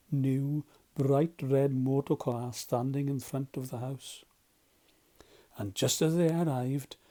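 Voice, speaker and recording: Male storyteller for children's book narration. Mellow, mid-range, expressive read. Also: medium pitch. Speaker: volunteer storyteller.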